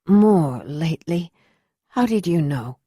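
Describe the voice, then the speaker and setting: A woman voicing a weak, bedridden older mother with a raspy voice, speaking faintly and slowly. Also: on the low side, expressive, warm tone, dry recording, clean studio sound, exaggerated voice. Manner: faint, half-whispered, slow. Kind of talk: audiobook character voice.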